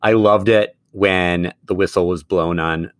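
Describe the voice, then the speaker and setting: A man's voice: deep voice, steady tone, measured pace, dry recording, studio quality. A man who is a middle school teacher, co-hosting a casual sports podcast and laughing often as he talks. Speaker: podcast co-host.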